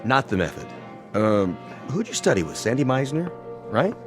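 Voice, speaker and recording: A man's voice: mid-range voice, very animated, normal speed, music in the background, lightly acted. An actor playing a wry, impatient male television director in a radio drama, sardonic, coaxing and bossy.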